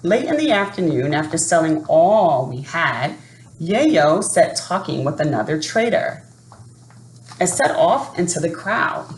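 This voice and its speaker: A woman reading a children's picture book aloud in an expressive, unhurried manner. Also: low-pitched. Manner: expressive, unhurried, adds asides to listeners. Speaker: storybook reader. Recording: roomy echo, faint background noise.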